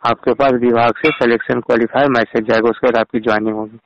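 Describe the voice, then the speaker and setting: A man's voice: mid-range voice, level tone, fast, a little hiss or hum. A man on a recorded phone call, insistently persuading like an official and mixing in English words. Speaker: phone caller posing as an official. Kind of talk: persuasive sales-like phone pitch.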